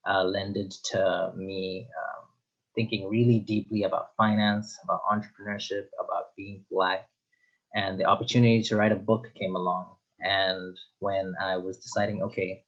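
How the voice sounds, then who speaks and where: A man's voice: sits low, highs cut off. A young man from Zimbabwe, a guest author, answering interview questions thoughtfully with frequent ums and uhs. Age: young adult.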